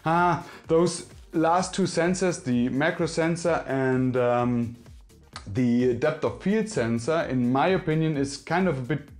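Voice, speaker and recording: A man's voice: fairly high pitch, slow pace, a little mellow, faint music behind. A man from Germany with a German accent and German as his first language, hosting a casual phone review in English, sounding a bit nervous and often correcting himself. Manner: nervous, self-correcting, friendly. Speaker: tech reviewer.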